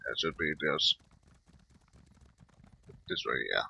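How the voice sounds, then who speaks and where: A man's voice: very deep voice, slow pace, creaky, sharp tone, husky. A man casually commenting on his video game, meandering and unsure as he thinks aloud. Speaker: gameplay commentator.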